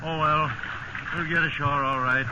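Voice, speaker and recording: A male actor's sea captain steadies his crew in a fatherly way, with a fairly high voice. The style is 1950s radio drama. Loud water noise runs behind the dull recording. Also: steady tone, normal speed, lightly acted. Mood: sober, reassuring.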